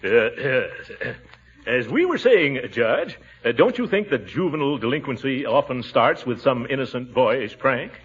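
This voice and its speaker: Male actor as puffed-up uncle, easily riled, blustery, lively intonation, 1940s radio sitcom, dull recording with faint noise. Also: medium pitch, measured pace, natural voice. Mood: flustered. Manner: blustery, hemming and hawing. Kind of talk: 1940s radio comedy acting.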